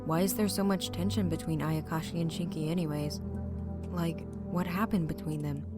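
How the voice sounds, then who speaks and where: Woman as low-voiced, stubborn young friend. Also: fairly even intonation, normal speed, over music, lightly acted. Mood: questioning. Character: stubborn, curious young friend, casual and determined. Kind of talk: dramatic fan audio drama acting.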